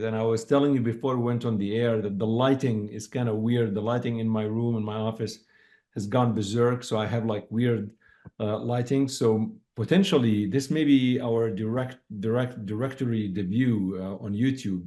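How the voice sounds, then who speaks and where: A man's voice: on the low side, steady tone, moderate pace, dark tone. A man hosting an interview podcast, loose and chatty, using "like" and "um". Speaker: podcast host. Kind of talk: casual interview chat.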